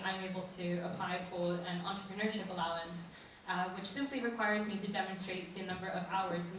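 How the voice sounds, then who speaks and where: An articulate, enthusiastic woman answering on a live conference panel. Her voice sits mid-pitch and comes from a distance, with heavy room echo and a faint murmur of ambience behind. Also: fairly bright tone, a little hiss or hum. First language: English. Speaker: entrepreneur guest. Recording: echoey hall, voice from a distance.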